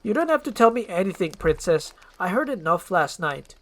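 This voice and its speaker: A woman voicing a gruff, wounded male ogre with a sardonic manner in a fairy-tale roleplay. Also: fairly low pitch, big pitch swings, moderate pace. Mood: bitter, hurt. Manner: bitter, forceful, sardonic.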